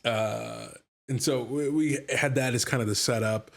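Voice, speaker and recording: A man's voice: medium pitch, moderate pace. A man hosting a casual podcast, talking enthusiastically in a rambling way with lots of fillers and repeated words. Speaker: podcast host and game master.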